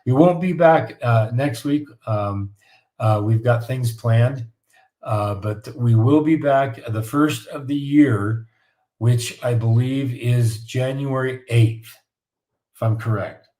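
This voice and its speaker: Man, raspy flu voice, casual live Q&A host, folksy coaching, middle pitch. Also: takes it slowly, warm tone. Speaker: host of live Q&A on home building. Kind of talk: casual live-stream advice talk.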